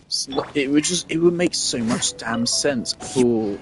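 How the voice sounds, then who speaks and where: A man's voice: mid-range voice, measured pace, over music. A man giving casual gameplay commentary, thinking aloud and rambling with restarts. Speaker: gameplay streamer.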